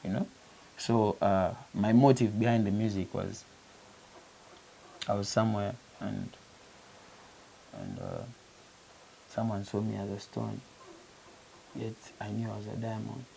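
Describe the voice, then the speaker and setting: A man from Uganda with a gravelly, mellow voice, talking earnestly in an interview. He often restarts his phrases and leans on "you know". A faint hiss runs under the recording. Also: on the low side, animated, a little slow, long pauses, rough recording. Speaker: musician, interview guest. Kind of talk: casual interview talk.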